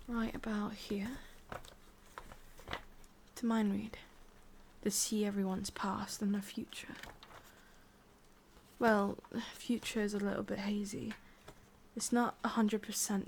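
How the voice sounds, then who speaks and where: A woman with an English accent voicing an ancient, possessive, wistful witch in a slow, intimate, breathy ASMR whisper. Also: mid-range voice, level tone, darkish tone, slight background noise, lightly acted. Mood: confiding. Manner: slow, intimate, musing, with lingering pauses. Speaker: ASMR roleplay performer. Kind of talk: whispered ASMR roleplay monologue.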